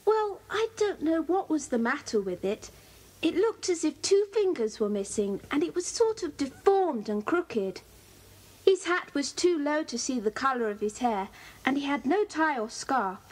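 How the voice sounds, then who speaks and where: A woman's voice: high-pitched, lively intonation, moderate pace, darkish tone, poor audio. A woman with a British accent playing a keen, observant schoolgirl in a children's audio drama, describing things precisely.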